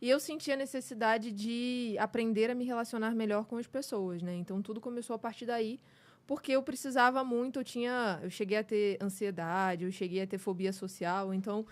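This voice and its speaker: A woman's voice: a little high, steady tone, brisk. A woman in her twenties from Rio de Janeiro with a carioca accent, speaking candidly and reflectively as a podcast guest. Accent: Carioca Brazilian Portuguese. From Brazil, Rio de Janeiro state. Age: 20s. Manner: candid and reflective, many "né" and "sabe" tags. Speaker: podcast guest, communication coach. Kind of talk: casual interview chat.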